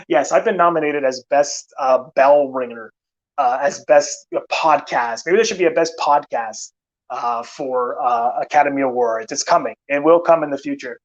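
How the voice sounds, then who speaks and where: Tired film-channel host, rambling casual chat full of fillers, mid-pitched man's voice, a bit dull and lo-fi. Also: normal speed. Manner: rambling, many "uh" fillers and restarts. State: tired, losing his voice. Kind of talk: casual live-stream chat.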